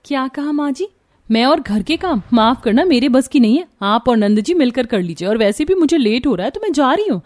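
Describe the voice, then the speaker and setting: A woman voicing expressive young daughters-in-law in a Hindi cartoon story, theatrical in delivery. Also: sits high, brisk. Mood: haughty. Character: young working sisters-in-law, lively and put-upon housewives.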